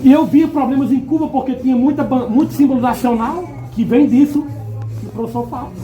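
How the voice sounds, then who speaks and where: A man's voice: very high voice, brisk, warm tone, dull audio. A man talking at a panel in a loose, rambling way with many restarts and asides. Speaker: panel guest. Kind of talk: casual discussion. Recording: music behind.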